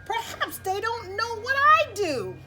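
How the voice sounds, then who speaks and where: A woman's voice: very high voice, big pitch swings, slow pace, over loud music, pitched above the performer's own voice, exaggerated voice. A woman voicing a sad, self-doubting little boy cricket in a plaintive storybook read-aloud. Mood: glum, complaining. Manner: plaintive, protesting.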